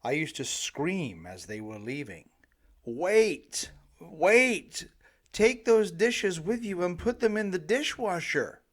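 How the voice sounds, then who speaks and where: A man's voice: high-pitched, sing-song, slow, a bit bright. A middle-aged man reading his own self-help audiobook in a dramatic, emphatic storytelling manner. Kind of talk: scripted self-help narration.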